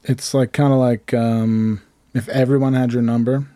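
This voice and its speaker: A man with a hoarse voice, telling rambling self-deprecating stories in casual chat. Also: medium pitch, flat delivery, moderate pace, dark tone, no echo, studio quality. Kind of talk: casual comedic storytelling.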